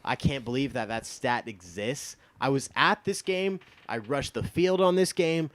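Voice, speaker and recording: A man's voice: mid-range voice, a little slow. Emphatic young American man talking sports. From United States, born in Texas and from Iowa. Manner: emphatic, opinionated, swears casually. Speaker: guest on a sports talk show. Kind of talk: casual sports chat.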